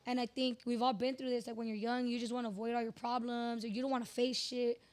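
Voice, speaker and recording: Hoarse, high-voiced young woman telling stories. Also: quick pace. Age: 20s. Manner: animated storyteller, slangy and profane, emphatic. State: hoarse from hosting events. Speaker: podcast guest, radio personality. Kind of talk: candid casual interview chat.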